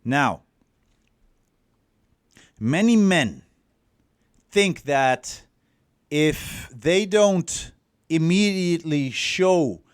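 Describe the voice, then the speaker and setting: Dutch-accented man, unhurried, rambling coach talk, swears, smooth voice, dry close sound. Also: a little high, animated, no room sound. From Netherlands. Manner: rambling, profane, many fillers and restarts. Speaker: dating coach. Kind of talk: casual coaching livestream.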